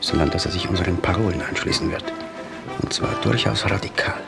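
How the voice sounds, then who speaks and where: A man's voice: low-pitched, fairly quick, gravelly, loud music behind the voice. A man playing a stern, controlling political radical in a radio drama, terse and commanding. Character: stern, controlling young radical party comrade.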